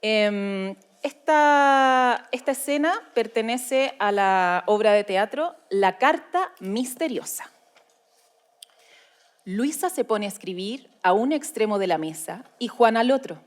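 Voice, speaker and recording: A woman's voice: on the high side, expressive, slow pace. A Chilean woman presenting informally as co-host of a live event. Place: live event before a seated audience.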